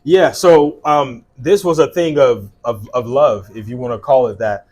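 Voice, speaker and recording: A man's voice: medium pitch, measured pace. Earnest man telling his story. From South Sudan and Liberia. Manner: warm, earnest storytelling with frequent fillers. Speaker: guest, skincare business owner. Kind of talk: casual interview conversation.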